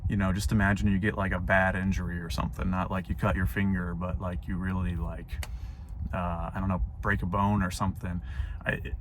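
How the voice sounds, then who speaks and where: A young man with a hoarse, fading voice, talking casually and reflectively to camera from his parked car with plenty of fillers. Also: low-pitched, level tone, a little fast, noisy background. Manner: rambling, reflective, many fillers. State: hoarse, voice going out. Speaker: vlogger.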